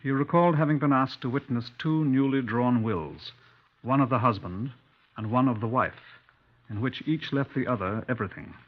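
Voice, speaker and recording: Actor as dry British police inspector, dull old recording. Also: male voice, medium pitch, moderate pace, lightly acted. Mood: measured narration. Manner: clipped, courteous, dryly probing. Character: brisk, dry-humoured Scotland Yard police inspector narrating his case. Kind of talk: 1950s radio drama acting. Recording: dull 1950s radio recording.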